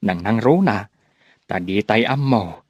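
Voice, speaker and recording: Weary village father for radio drama, male actor: low, unhurried, sighing, resigned, recorded dry. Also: lively intonation, no room sound, highs cut off. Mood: gentle, resigned. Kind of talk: radio drama acting in Ilocano.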